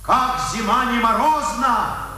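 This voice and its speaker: A heroic condemned patriot in an old radio play. He declaims slowly and solemnly in a very high voice. Music plays behind him in cavernous reverb. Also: bright, forceful tone, full character voice. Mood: exalted. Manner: slow, declamatory verse recital. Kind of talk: 1950s Soviet radio drama acting.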